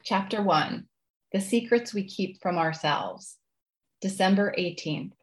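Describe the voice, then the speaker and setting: A woman's voice: mid-range voice, a little slow. A woman speaking as a guest author, polite and modest in manner. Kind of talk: casual interview chat, then reading own writing aloud.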